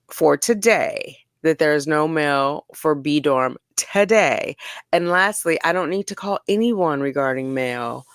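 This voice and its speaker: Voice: medium pitch, creaky, dry recording, studio quality. A commentary host giving a dramatic reading of reports, with sassy, theatrical delivery and casual asides.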